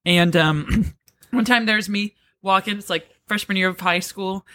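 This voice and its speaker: Playful woman with a low voice. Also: fairly bright tone, dry recording, studio quality. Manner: playful, laughs often, quick asides. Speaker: podcast co-host. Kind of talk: casual chat.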